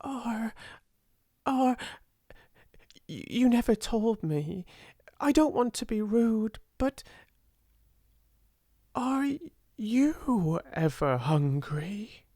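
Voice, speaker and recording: A woman voicing a kind, timid, slow-witted young schoolgirl in a storybook, earnest and halting, often repeating words. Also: medium pitch, darkish tone, no room sound, clean studio sound, voice pushed up, natural voice. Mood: timid, awed.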